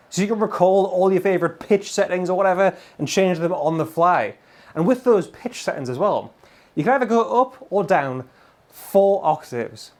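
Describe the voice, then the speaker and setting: High-pitched man presenting guitar gear. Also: darkish tone. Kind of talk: enthusiastic product demo presentation.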